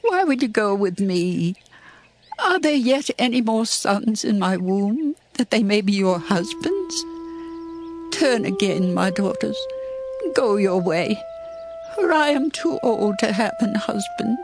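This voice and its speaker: An actress playing a grieving, bitter older widow in a dramatized scripture reading, solemn and plaintive. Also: female voice, mid-range voice, lively intonation, slow pace, over music. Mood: sorrowful pleading.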